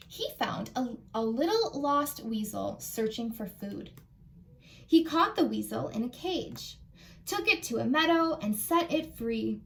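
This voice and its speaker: American lady doing kids storytime, really high voice, animated picture book reading, talks to the kids. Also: creaky. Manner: animated, asks listeners questions. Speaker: children's librarian reading storytime. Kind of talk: expressive picture-book read-aloud for children.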